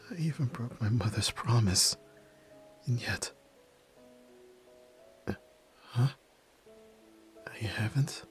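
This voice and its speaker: A guarded young nerd turned yakuza, acted by a man, in an intimate ASMR roleplay over music. He speaks very slowly and haltingly, stammering and sighing, with a tender core under the tsundere front. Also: on the low side. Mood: soft, relieved. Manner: halting, stammering, breathy sighs. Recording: music behind. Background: background music.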